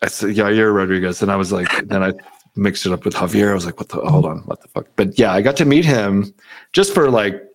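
A man's voice: on the low side, fairly quick, noisy background. A man hosting a casual chat, rambling and joking with lots of swearing. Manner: rambling, profane, jokey.

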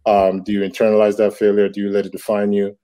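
Nigerian-born creator in his thirties, low warm voice, thoughtful interview answers. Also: completely flat delivery, quick pace. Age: 30s. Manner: reflective, many fillers and restarts. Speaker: comic book creator. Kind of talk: casual interview talk.

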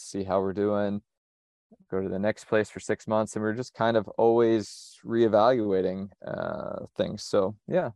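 A man originally from the United States talks in a conversational interview, his voice sitting on the low side. He is reflective and methodical, often circling back and restarting his sentences. The sound is dry and close, with little room around it. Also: darkish tone, no echo. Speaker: sailor and interview guest.

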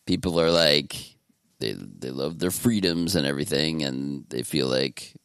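A man's voice: rumbling low, animated, unhurried, creaky, fairly bright tone, clean tone, dry recording, clean studio sound. A man hosting a podcast in casual chat, talking in a laid-back, meandering way. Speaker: podcast host.

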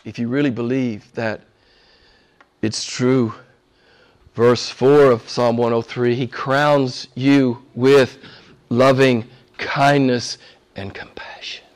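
A man preaching a sermon with fervor, hoarse from a cold and losing his voice, dropping to a hush and rising to shouts. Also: mid-range voice, flat delivery, slow pace, pauses often. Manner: fervent, swings from hushed to shouting. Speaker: pastor.

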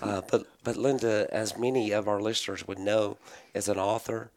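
A pastor with a raspy voice, tired from a long meeting, talking earnestly with many pauses and fillers. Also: male voice, lowish voice, steady tone, darkish tone. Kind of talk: podcast conversation.

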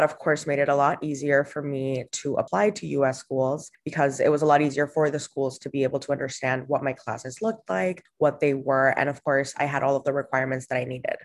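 A young woman from Honduras, a podcast guest talking casually with frequent fillers and restarts. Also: sits low. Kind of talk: casual interview answers.

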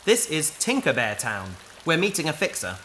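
Casting: woman as dry, patient space adventurer, bright and expressive, gently correcting, sci-fi audio drama over faint ambience. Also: deep voice, moderate pace, a little hiss or hum, rough recording. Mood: wry. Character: patient, wry adventurer minding a wayward AI companion.

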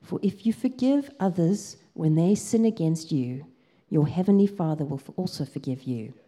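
South African woman, lively preacher. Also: lowish voice, normal speed. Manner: lively storytelling, gets excited, lots of ums. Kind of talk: sermon with a personal story.

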